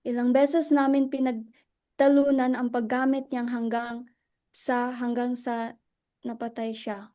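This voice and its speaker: A woman acting a guarded, emotional widow in a dramatic reading, halting and defensive as she speaks. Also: a little high, little pitch movement, mellow. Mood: grieving, confessional. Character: Luella, guarded, emotional widow defending her dead husband.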